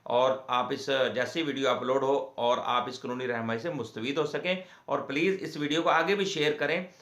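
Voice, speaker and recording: Pakistani guy explaining legal stuff, mid pitch, halting and repeats himself, echoey room. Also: a bit bright. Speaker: host of a legal advice channel, lawyer. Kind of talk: informal legal explainer to camera.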